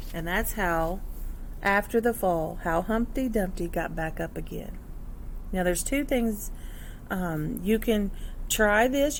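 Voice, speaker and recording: Woman reading aloud to children, noisy recording. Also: mid-range voice. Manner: expressive, instructive, addressing young listeners with questions. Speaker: teacher reading a picture book aloud to children. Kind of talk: children's read-aloud with explanatory asides.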